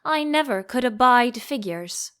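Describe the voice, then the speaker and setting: A woman voicing a rude, ill-tempered duchess in a storybook, with a brusque, snappish manner and a hoarse growl. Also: on the high side, animated, unhurried, fairly bright tone. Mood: gruff, irritated.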